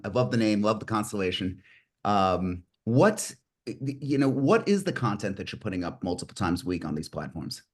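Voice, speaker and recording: A middle-aged man hosting an online group meeting on a video call, reading statistics and joking with participants, often restarting his sentences. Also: on the low side. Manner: rambling, restarts, jokey with participants. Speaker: meeting host.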